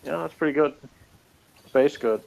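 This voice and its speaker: A man's voice: fairly high pitch, unhurried, vocal fry, darkish tone, rough recording. A man co-reviewing a TV episode in casual chat, sardonic and joking, with lots of fillers. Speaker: podcast co-reviewer. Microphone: remote call, off camera.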